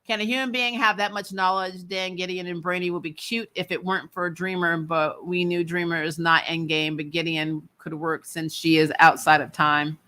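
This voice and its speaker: Bright, chatty, giggly recap host. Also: mid-range voice, normal speed, hardly any pauses. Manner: animated, giggly. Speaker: show host. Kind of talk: casual chat reading and answering live comments.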